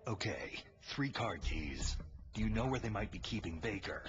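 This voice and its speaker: A man voicing a gruff, curt special-ops infiltrator in a video game cutscene, with gravelly, clipped questioning. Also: fairly low pitch, lively intonation, normal speed, lightly acted. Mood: terse, probing. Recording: dull sound under loud music.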